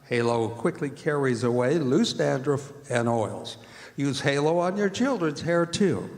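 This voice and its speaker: A man's voice: medium pitch, expressive, measured pace. A man reading as an old-time radio announcer, with scripted delivery of ads and introductions. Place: performing arts center stage. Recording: hall reverb, music behind.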